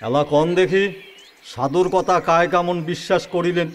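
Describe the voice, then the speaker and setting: A man playing an excitable elderly village friend in a rural audio drama, bantering with loud exclamations. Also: high-pitched, moderate pace, close to an everyday voice.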